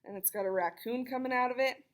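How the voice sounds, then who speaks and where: A woman reading a children's picture book aloud, expressive and chatty, with casual explanatory asides for young listeners. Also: fairly high pitch, quick pace. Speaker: read-aloud storyteller.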